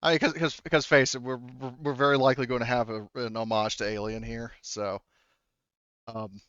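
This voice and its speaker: A man running a tabletop roleplaying game as game master, improvising narration and explaining rules in a halting way, thinking aloud. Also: medium pitch, level tone, fairly bright tone, clean tone, no echo, lo-fi sound.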